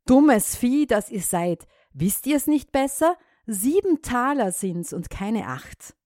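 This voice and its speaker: A woman's voice: medium pitch, very animated, takes it slowly, mellow, dry recording, studio quality. A woman voicing a stubborn old farmer who scolds and rants indignantly in a storybook reading. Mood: scolding.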